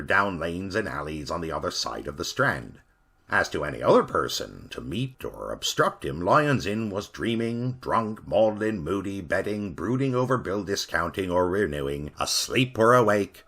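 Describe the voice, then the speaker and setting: A man narrating a Victorian ghost story in an expressive, dramatic reading. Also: deep voice, husky.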